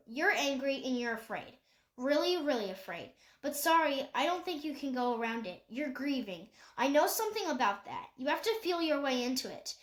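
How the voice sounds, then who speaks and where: A woman reading aloud voices an earnest young girl who presses gently and reassures a grieving friend. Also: sits high, roomy sound. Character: earnest girl, caring and insistent.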